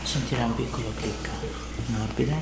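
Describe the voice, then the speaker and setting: A man's voice: mid-range voice, steady tone, normal speed. A man in his thirties talking a patient through hands-on treatment as a chiropractor, reassuring and instructional. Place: indoor treatment room in a home-like studio. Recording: echoey room with clear background noise.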